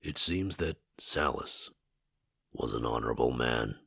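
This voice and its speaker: A man voicing a calm ancient spirit in a borrowed body for a video game, speaking in a formal, measured, philosophical way. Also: no room sound, full character voice. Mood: solemn, measured. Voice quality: very deep, husky. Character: calm ancient male spirit inhabiting a dead man's body.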